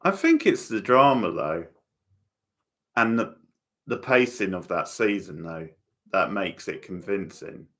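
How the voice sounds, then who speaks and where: A man's voice: sits low, expressive, a little slow, pauses often, fairly bright tone. A man giving casual, opinionated commentary on a TV show as host of a live watchalong, chatting back to viewers.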